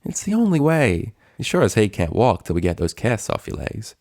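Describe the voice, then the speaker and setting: A man's voice: lowish voice, very animated, moderate pace, a little mellow, no room sound, clean studio sound. A man voicing a feisty, outspoken female cartoon farm pony, blunt and folksy in manner. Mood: insistent.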